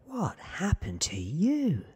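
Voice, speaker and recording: Male reader as teenage boy, eager, naive, questioning, breathy whisper, ASMR storybook. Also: high-pitched, huge pitch swings, slow pace, darkish tone, pitched above the performer's own voice, full character voice. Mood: concerned.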